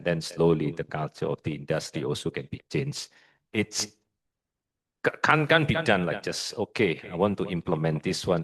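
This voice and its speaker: A man with an Indonesian accent answers questions from a lecture audience. His voice sits low and slightly husky. He speaks in a measured, hesitant way, with frequent fillers and restarts. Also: fairly bright tone, poor audio. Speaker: guest lecturer.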